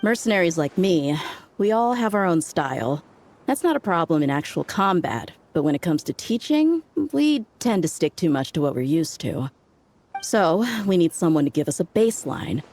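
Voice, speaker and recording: Actress as a breezy low-voiced female mercenary. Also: animated, normal speed, darkish tone, close to an everyday voice. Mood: easygoing, confident. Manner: casual, teasing. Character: breezy, cocky female desert mercenary instructor. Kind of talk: video game voice acting.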